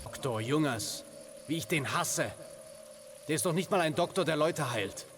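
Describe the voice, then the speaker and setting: An actor voicing a scornful, grumbling German soldier in a war video game. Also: male voice, on the high side, animated, normal speed, over music, lightly acted. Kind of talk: video game background chatter.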